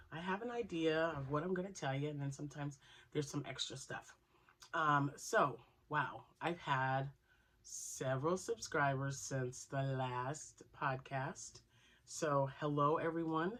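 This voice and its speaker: A woman hosting her crochet channel, chatting casually and rambling with asides, still nasal from a cold. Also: deep voice. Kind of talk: casual chat to camera.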